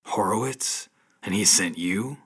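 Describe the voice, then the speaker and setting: An actor playing a tough, wry former convict with a decent streak, dry and hard-boiled, in a pulp audio drama. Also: male voice, lowish voice, measured pace, a bit bright, breathy edge. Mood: wry, weary.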